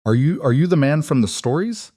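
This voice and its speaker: A man's voice: sits low, normal speed, darkish tone, dry recording, close to an everyday voice. A man voicing a frightened, wondering little boy in an audio story, hesitant and fragile. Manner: tiny, fragile, whispery, hesitant.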